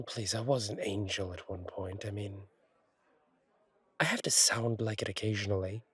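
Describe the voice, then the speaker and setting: A man playing a kindly, easygoing fallen angel bartender in an intimate audio roleplay, talking in a husky, wry, conversational way with unhurried pauses. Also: fairly low pitch, bright, forceful tone, dry recording, heavily acted. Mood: gently teasing.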